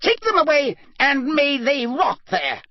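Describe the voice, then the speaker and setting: A man's voice: very high-pitched, very animated, unhurried, no room sound, voice pushed up, full character voice. A man voicing a stern, cold older male judge who bellows formal accusations. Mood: furious. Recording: dull, slightly muffled.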